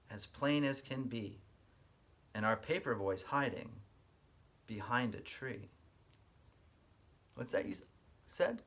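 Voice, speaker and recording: Man, kindergarten teacher, medium pitch, very unhurried, expressive storybook reading, simple direct explanations. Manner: addresses young listeners directly, explains words simply. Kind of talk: expressive children's read-aloud.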